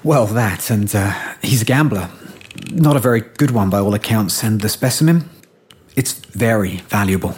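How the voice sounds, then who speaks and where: A man's voice: fairly low pitch, normal speed, a little mellow, breathy edge. An actor playing an anxious, polite scientist in a naturalistic audio drama, hesitant in his speech. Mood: subdued. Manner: hesitant, stammering when alarmed. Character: anxious scientist, a husband, polite but rattled.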